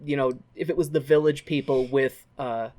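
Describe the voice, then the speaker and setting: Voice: medium pitch, fairly even intonation, normal speed, dark tone. A podcast co-host casually recapping a musical film with comedy, swearing freely and acting out mocking quotes.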